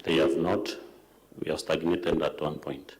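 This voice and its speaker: A man's voice: extremely low pitch, little pitch movement, unhurried, a little hiss or hum. A Kenyan man testifying as a witness, giving short plain answers. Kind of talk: testimony under questioning.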